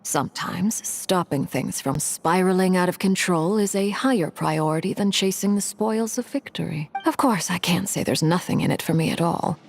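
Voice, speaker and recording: A woman playing a composed, formal and quietly menacing villainess in a video game, speaking in a measured, guarded way. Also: lowish voice, gravelly. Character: composed, formal, menacing adult female Harbinger. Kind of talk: video game cutscene acting. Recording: faint game music behind.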